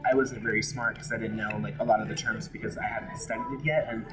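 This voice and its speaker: A man's voice: on the low side, steady tone, fast talker. A man from Hawaii telling his personal story in an interview, frank and emotional, often restarting his sentences. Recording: loud background music.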